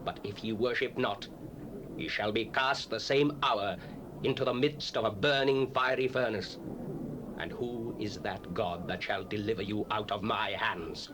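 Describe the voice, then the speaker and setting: A man's voice: lowish voice, fairly even intonation, measured pace, natural voice. An actor playing a proud, commanding Babylonian king in a dramatized scripture, with stately, emphatic delivery. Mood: menacing demand. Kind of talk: dramatized scripture, declamatory acting. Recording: noisy 1970s cassette dramatization.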